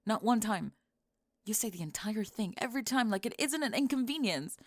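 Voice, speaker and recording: A young woman playing a sharp-tongued, defensive goth college student who is secretly smitten, in an ASMR roleplay, speaking in halting, clipped, emphatic phrases. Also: on the high side, expressive, no room sound, clean studio sound. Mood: intense, exasperated. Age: young adult. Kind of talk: ASMR roleplay monologue, intimate audio drama.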